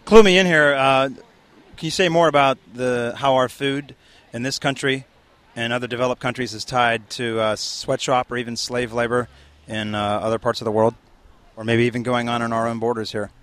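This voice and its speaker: A man's voice: medium pitch, fairly even intonation, moderate pace, a bit bright, clean tone. A man interviewing people outdoors at a protest, asking long, probing questions. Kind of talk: street interview.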